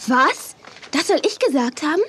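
Casting: sensible, slightly exasperated young big sister, high-pitched, for children's audio drama. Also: female voice, expressive, moderate pace, a bit bright, a little hiss or hum.

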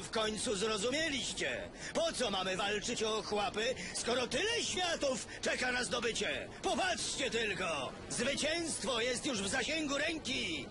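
A man playing a triumphant supervillain warlord in a dubbed animated series, grandiose, theatrical and taunting as he rallies his allies. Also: extremely high pitch, a little slow, full character voice. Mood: exultant. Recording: loud dramatic music behind.

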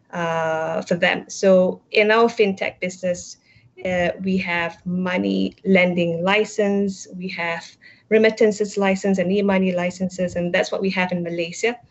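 A woman's voice: on the low side, flat delivery, a little slow. A middle-aged woman explaining her work as a panelist on a virtual panel, speaking into an earphone headset over a video call. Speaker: panelist, company executive. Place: book-lined room indoors, over video call. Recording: dull, lo-fi video call audio.

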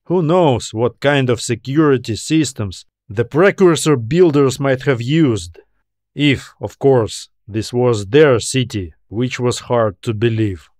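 Slow-paced man narrating, dry studio sound. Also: medium pitch, darkish tone, no echo. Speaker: author narrating own science fiction story. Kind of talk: scripted audiobook narration.

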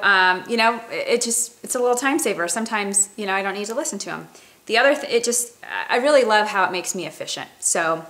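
A woman in her thirties talks casually into a close webcam in her kitchen. Her voice sounds bright and forward, and she chats along with frequent fillers and restarts. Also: mid-range voice. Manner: chatty, many fillers and restarts. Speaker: company representative. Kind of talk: casual product walkthrough. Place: home kitchen, indoors. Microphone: webcam at close range.

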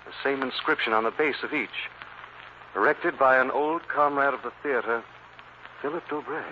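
An actor playing a calm, shrewd gentleman detective in old radio drama style. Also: mid-range voice, normal speed, lightly acted. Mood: intrigued, resolute. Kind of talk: 1940s radio drama acting. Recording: muffled, noisy old radio recording.

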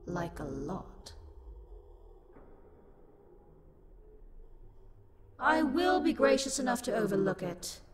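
A woman's voice: on the high side, sing-song, moderate pace, slight background noise. A woman playing an angry, haughty, sassy luck demon in a roleplay audio drama, scornful, theatrical and exasperated. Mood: haughty, grudgingly amused.

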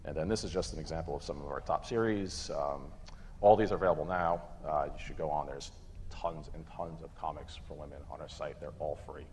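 A man in his thirties presenting and moderating a conference panel in an informal, halting way. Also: a bass voice, fairly even intonation, darkish tone. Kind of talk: conference presentation, semi-casual. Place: conference room stage with audience. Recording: echoey hall PA, clear background noise.

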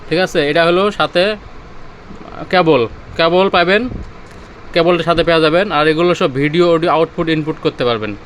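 A man's voice: on the high side, fairly quick, long pauses, a bit bright, slight background noise. A man with a Bangladeshi Bengali accent giving an informal, repetitive off-the-cuff sales demonstration, pointing things out as he goes. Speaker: seller demonstrating used car audio-video equipment.

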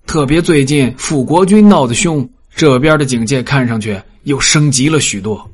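A husky-voiced steward from an audiobook drama, played by a man. He is polite and deferential, explaining things reassuringly at a middling pitch. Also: normal speed, natural voice. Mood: amiably explaining.

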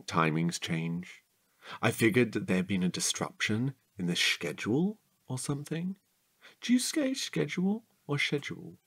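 An actor playing a chatty, excitable, needy sidekick in a comic audio drama, rambling eagerly and theatrically. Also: male voice, on the low side, husky, full character voice. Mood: musing.

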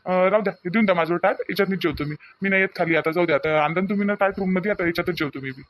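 A man's voice: high voice, racing, fairly bright tone, no room sound. A man voicing a young, reassuring newlywed village husband in a homemade cartoon, speaking colloquially with a Vidarbha Marathi accent. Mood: polite, easygoing. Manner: colloquial, repeats himself soothingly. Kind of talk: homemade cartoon family drama voice acting.

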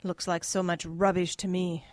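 A woman's voice: on the low side, flat delivery, normal speed, no room sound, lightly acted. A woman playing a no-nonsense, nagging working-class English mother in a radio drama. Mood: dismissive. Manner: brusque, nagging.

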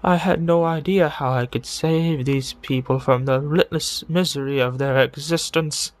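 A man voicing a deranged serial killer who confesses with morbid relish in a theatrical, brooding monologue full of dramatic pauses, in the style of a video game villain. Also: on the high side, steady tone, dry recording, lightly acted. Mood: unsettled, confiding. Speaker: amateur voice impressionist.

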